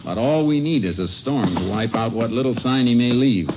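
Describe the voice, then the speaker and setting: An actor playing a calm, steady Texas Ranger in a 1950s radio drama, speaking in an unhurried, matter-of-fact way. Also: male voice, mid-range voice, background noise, lightly acted. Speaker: lawman lead. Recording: dull lo-fi 1950s radio broadcast with music.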